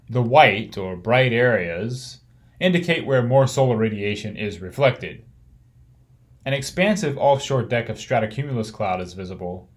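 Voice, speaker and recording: A man reading a technical course lecture in a measured, explanatory way. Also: medium pitch.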